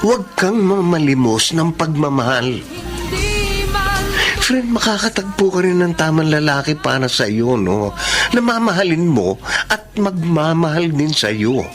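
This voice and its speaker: A man's voice: a little high, very animated, unhurried, music in the background. A man playing a protective, blunt confidant friend in a Filipino radio drama, scolding emphatically but with care. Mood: firm, advising. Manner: emphatic, scolding yet caring.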